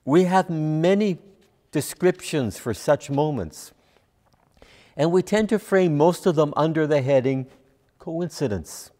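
An older man speaks as a minister leading worship, his voice a little on the high side. He reads prayers and reflections in measured, solemn phrases. The sound carries the reverb of a church hall. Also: dark tone. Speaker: guest minister. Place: church sanctuary at a lectern.

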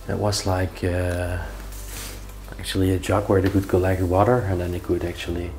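A man's voice: deep voice, level tone, moderate pace, darkish tone, faint music behind. A young man exploring an abandoned castle, pointing out old objects to viewers as he walks through the rooms. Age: young adult. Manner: marveling, points things out to viewers. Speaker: urban explorer vlogger. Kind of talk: casual walkthrough commentary. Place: large abandoned castle indoors.

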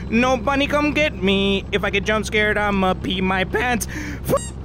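Young man, very high voice, wildly swinging pitch, frantic horror-game yelling, noisy background. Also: very animated, unhurried. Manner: panicky, yelling, switching between English and Spanish. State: losing his voice. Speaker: VR gaming YouTuber. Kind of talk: loud, frantic gameplay commentary. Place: indoor room, in front of a green screen.

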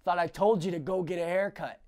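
A man's voice: high voice, brisk, darkish tone, natural voice. A man voicing a harsh, violent middle-aged father who barks curt, threatening orders in a solo stage monologue.

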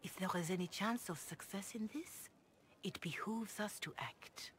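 A woman voicing a devout, compassionate older cleric in a video game, speaking in a formal, measured, earnest way. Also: medium pitch, natural voice. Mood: earnest, pleading. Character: grave, devout, compassionate older Chantry mother. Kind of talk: video game dialogue acting. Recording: clear background noise and ambience.

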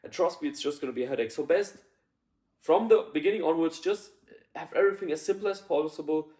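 A man's voice: fairly high pitch, quick pace, darkish tone. A young man in his twenties giving casual business advice to camera from a sofa, blunt, swearing, full of fillers and restarts. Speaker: business consultant. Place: living room, on a sofa indoors. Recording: echoey room.

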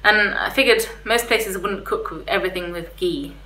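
Young female vlogger, casual and a little hesitant, bright tone, recorded in an echoey room. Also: medium pitch, normal speed. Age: young adult. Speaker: vlogger reviewing restaurants. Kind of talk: casual chat to camera. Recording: roomy echo, faint background hiss.

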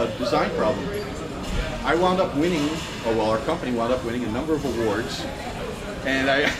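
A man in his fifties giving a casual, self-deprecating talk at a meetup, laughing often as he tells stories. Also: mid-range voice. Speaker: guest speaker. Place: meetup room in a store, in front of a screen. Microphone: far camera. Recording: echoey room with loud ambience.

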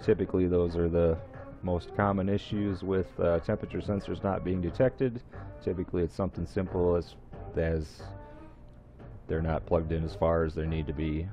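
A man's voice: deep voice, level tone, moderate pace, mellow, dull audio, soft background music. A man hosting a how-to video series, walking through a computer task step by step in a plain voice-over. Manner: plain, step-by-step instructions with some fillers and restarts. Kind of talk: screen-recorded tech tutorial. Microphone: voice-over recorded over screen capture.

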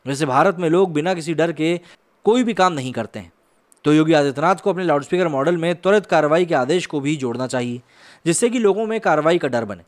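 Guy in his 30s from india doing news explainer commentary, voice a bit on the high side, close mic. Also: expressive, fast talker. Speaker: YouTube commentator and host. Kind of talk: news explainer commentary, part conversational, part scripted narration. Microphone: close desk microphone on a boom arm.

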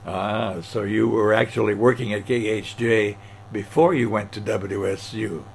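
A man's voice: fairly low pitch, steady tone, measured pace, vocal fry, a little hiss or hum. A man conducting an oral history interview, asking attentive follow-up questions.

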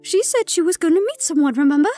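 A woman's voice: very high voice, animated, fast, dark tone, dry recording, soft background music. A woman playing an earnest, urgent good fairy in a fairy-tale audio drama, speaking emotively. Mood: hopeful urgency.

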